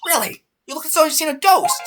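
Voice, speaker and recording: A woman's voice: high-pitched, fast, close to an everyday voice. A woman voicing an elderly, cranky female security guard who scolds and rants, in a fan dub of a video game. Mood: indignant. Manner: scolding, ranting. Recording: loud music behind.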